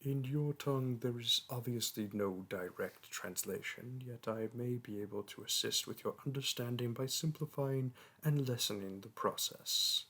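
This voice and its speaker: A man's voice: medium pitch, moderate pace, hardly any pauses, bright, forceful tone. A man voicing a proud, ancient male dragon teacher who speaks in grand, archaic, formal phrases in a romantic audio roleplay. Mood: haughty, tender.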